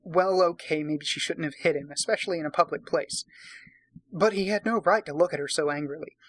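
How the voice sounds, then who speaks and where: A man's voice: fairly high pitch, brisk, a bit bright, dry recording. Tipsy, sarcastic man reading aloud. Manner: sweary, sarcastic. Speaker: host, narrator. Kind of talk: casual comic read-aloud with commentary.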